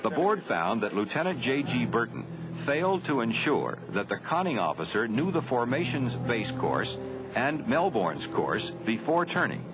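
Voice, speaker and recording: A man's voice: medium pitch, big pitch swings, over music. Dull-sounding 1970s film narrator, American man. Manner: formal, grave, measured delivery. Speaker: documentary narrator. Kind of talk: scripted narration of a 1970s military training film.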